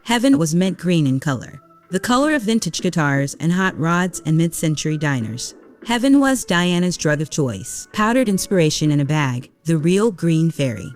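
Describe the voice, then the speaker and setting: A woman with a low voice delivers scripted story narration as the narrator of an audio drama. Faint music sits underneath her. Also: sing-song, normal speed, darkish tone.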